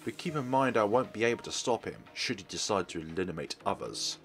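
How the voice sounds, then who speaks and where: A man's voice: on the low side, animated, fairly quick, fairly bright tone, faint music behind. A man reading a visual novel's text aloud expressively as a let's player, in a formal manner with small stumbles. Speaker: let's play streamer. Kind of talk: dramatic read-aloud of game text.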